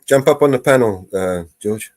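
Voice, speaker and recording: A man's voice: on the low side, normal speed, darkish tone, no room sound. A man with a British accent, hosting a live stream and calling a police department, hesitant and full of fillers as he explains. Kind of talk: live-streamed phone call.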